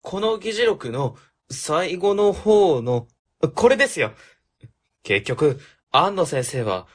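A man's voice: fairly high pitch, slightly rough, exaggerated voice. A man playing a polite, considerate junior office worker in a radio drama, speaking earnestly.

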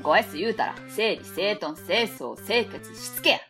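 A woman's voice: mid-range voice, lively intonation, normal speed, a bit bright, faint music behind. A woman with a Kansai accent voicing a blunt, know-it-all schoolboy in an amateur radio drama. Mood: lecturing. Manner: blunt, lecturing, matter-of-fact.

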